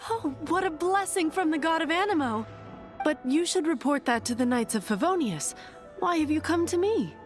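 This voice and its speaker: A woman's voice: high-pitched, animated, moderate pace, music in the background. A woman voicing a stern, pious church sister in a video game, speaking in a prim, formal way. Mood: polite.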